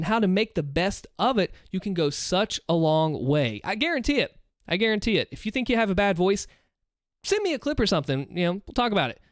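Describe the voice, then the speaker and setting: Chatty Southern man, lively pitch. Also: no echo, studio quality. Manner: chatty, encouraging, thinks aloud while reading chat. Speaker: streamer. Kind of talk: casual livestream chat. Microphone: close desk mic with headphones.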